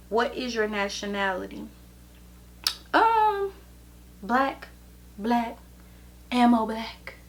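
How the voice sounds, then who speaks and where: A woman in her thirties, from the American Midwest, answering viewer questions in a relaxed vlog with plenty of ums. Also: mid-range voice, a little hiss or hum. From Chicago and Detroit, USA. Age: 30s. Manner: slow, easygoing, with many ums. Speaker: vlogger and hairstylist. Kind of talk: casual Q&A vlog.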